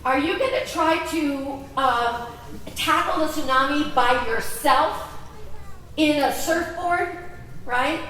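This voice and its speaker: High-voiced woman in an echoey hall. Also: slow pace, fairly bright tone, recorded from a distance. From Greece. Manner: chatty, asks the audience questions. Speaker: convention speaker. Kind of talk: presentation to an audience. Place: large convention hall.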